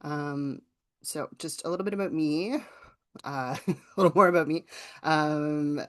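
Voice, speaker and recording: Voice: medium pitch, unhurried, a bit bright. A writing teacher from Ontario with a Canadian accent, giving an informal online workshop presentation in a conversational, explanatory way. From rural southwestern Ontario, Canada.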